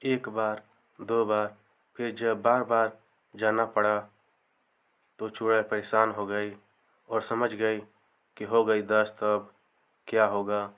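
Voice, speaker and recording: A man narrating a scripted comic folktale as a storyteller, in measured short phrases. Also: on the low side, completely flat delivery, a bit bright, a little hiss or hum.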